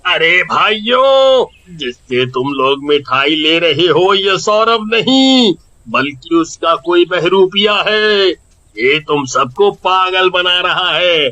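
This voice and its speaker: A man voicing a scheming, jealous village shopkeeper in a cartoon, with a whining, exaggerated, drawn-out delivery. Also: high voice, animated, sharp tone, lo-fi sound, full character voice. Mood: hawking. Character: scheming, jealous village sweet-seller, henpecked husband. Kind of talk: Hindi cartoon voice acting.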